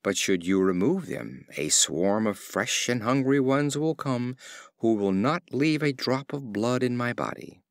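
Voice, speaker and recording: A man's voice: mid-range voice, expressive, measured pace, no echo, studio quality. A man voicing a wily male fox who explains himself in a reasoning way.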